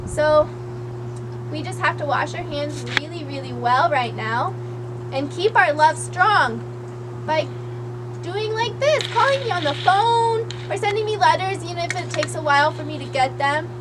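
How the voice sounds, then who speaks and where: A gentle, reassuring lady duck puppet voiced by a woman with a high voice. She explains patiently, in simple words for small children. There is loud noise on the homemade recording. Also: animated, unhurried. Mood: sympathetic. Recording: noisy amateur puppet show recording.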